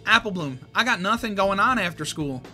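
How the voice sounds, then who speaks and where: A man's voice: sits high, lively intonation, fairly quick, pushed and bright, soft background music, pitched above the performer's own voice, heavily acted. A man doing an earnest, worried farm filly.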